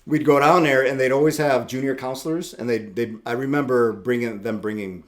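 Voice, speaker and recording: A man's voice: mid-range voice, steady tone, brisk. A middle-aged man from Puerto Rico, a tattoo shop owner telling his story in casual interview chat, easygoing and rambling with repeated words.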